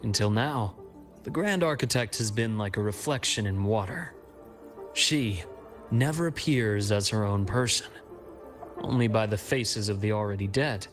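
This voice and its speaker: An actor playing a composed, shrewd investigator in a video game, speaking in a measured, analytical way. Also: male voice, lowish voice, faint music behind, lightly acted. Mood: measured, cautious. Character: composed, shrewd male investigator sharing intelligence. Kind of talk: scripted video game dialogue.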